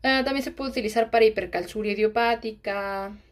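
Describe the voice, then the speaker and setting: A woman teaching pharmacology while writing on a diagram. She keeps checking in with rhetorical questions and sometimes drops into a hushed aside. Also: medium pitch, brisk. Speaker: pharmacology tutor.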